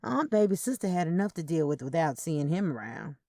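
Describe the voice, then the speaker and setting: A woman's voice: deep voice, sing-song, a little fast, mellow, dry recording, studio quality, exaggerated voice. A woman voicing a gossipy young Southern mother, drawling and conspiratorial, in a story.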